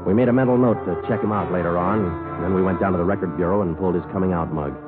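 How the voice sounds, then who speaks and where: An actor playing a terse, unflappable police sergeant in a radio crime drama, speaking in a clipped, matter-of-fact deadpan. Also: male voice, sits low, racing, close to an everyday voice. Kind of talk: 1950s radio drama, clipped first-person narration. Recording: tinny vintage radio recording, music behind.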